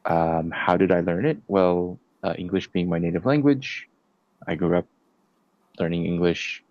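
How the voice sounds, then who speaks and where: A young man in his twenties answering interview questions casually, pausing often with fillers. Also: sits low, darkish tone, no room sound. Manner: hesitant, many fillers and restarts. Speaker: interviewee, nursing student. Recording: dull, dry lo-fi sound.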